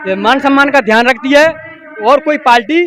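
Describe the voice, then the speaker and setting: A man's voice: very high voice, moderate pace, sharp tone. A man, answering respectfully as a party worker and often repeating the start of words. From rural Madhya Pradesh, India. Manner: stammering repetitions, respectful. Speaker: young party worker being interviewed.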